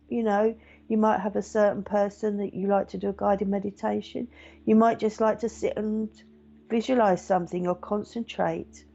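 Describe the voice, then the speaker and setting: A middle-aged woman, a meditation teacher and medium, chatting gently and reassuringly to viewers with many fillers. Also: medium pitch, gravelly. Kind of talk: casual live-stream chat reading viewer comments. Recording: dull lo-fi stream audio.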